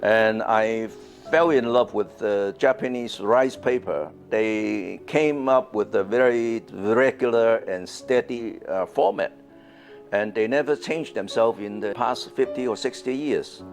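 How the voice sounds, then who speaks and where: A man's voice: lowish voice, measured pace. An older man from Hong Kong with a Hong Kong English accent, speaking about his own art in an interview and hesitating with many fillers and repeated words. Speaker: artist. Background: soft guitar music.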